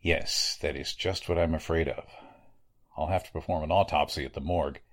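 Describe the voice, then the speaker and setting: A man playing a grave, blunt medical examiner in a 1940s-style radio drama, with a deliberate delivery. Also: a bass voice, steady tone. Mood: grave, uneasy.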